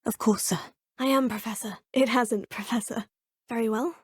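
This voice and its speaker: A woman's voice: fairly high pitch, steady tone, normal speed, slightly rough, dry recording, clean studio sound. An actress voicing a polite young female student witch in her fifth year, speaking formally to her teachers in game voice lines. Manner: polite and formal, addressing teachers as sir and professor. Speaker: player character. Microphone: close studio mic.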